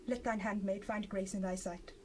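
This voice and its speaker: A woman's voice: mid-range voice, steady tone, normal speed, darkish tone, close to an everyday voice. A woman playing a devout, sorrowful biblical wife in a dramatized scripture reading, speaking fervently in formal archaic language on an old cassette. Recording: noisy unprocessed 1970s cassette rip.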